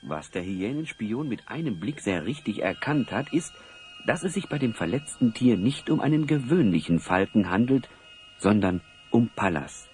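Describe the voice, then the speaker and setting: A man with a fairly low voice tells a scripted fantasy tale as narrator. His delivery moves expressively up and down, with some music underneath. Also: big pitch swings, moderate pace, a little mellow. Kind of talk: scripted storybook narration for an audio play.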